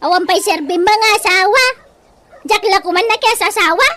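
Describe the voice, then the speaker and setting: A woman's voice: very high voice, moderate pace, bright, forceful tone, slight background noise. An actress playing a brash, vain housewife and mother who is always borrowing, in a sassy radio comedy-drama style. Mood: indignant.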